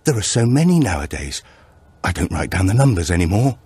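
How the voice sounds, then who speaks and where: A man voicing a cheerful, energetic old village woman in an audiobook, chatty and matter-of-fact. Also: low voice, a little fast, husky, no echo, full character voice. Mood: chatty, eager.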